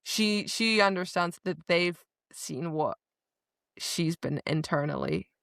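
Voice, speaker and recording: A woman's voice: fairly low pitch, slow, gravelly, dry recording, studio quality. A woman co-hosting a podcast, retelling a book casually and expressively, slipping into dialogue as she goes. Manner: acts out dialogue, informal and expressive. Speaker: podcast co-host.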